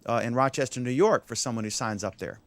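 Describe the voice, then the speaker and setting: A man's voice: medium pitch, fast talker, dry recording. Rambling male host on a dry call. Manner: rambling, self-interrupting questions. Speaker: show host. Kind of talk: casual panel discussion. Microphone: video call.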